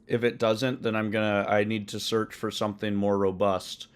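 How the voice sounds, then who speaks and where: A man hosting a live coding stream, talking loosely with many ums and uhs and correcting himself as he goes, his voice a bit hoarse. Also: fairly low pitch, little pitch movement, normal speed. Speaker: livestream host coding a trading tool. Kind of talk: casual live-stream explanation.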